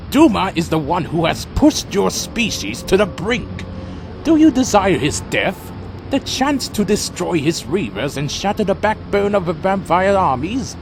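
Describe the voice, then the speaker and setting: A man's voice: sits high, heavily acted. A man playing a proud, defiant vampire clan leader in an audio drama, formal and theatrically intense. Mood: defiant, urgent. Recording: dramatic music behind.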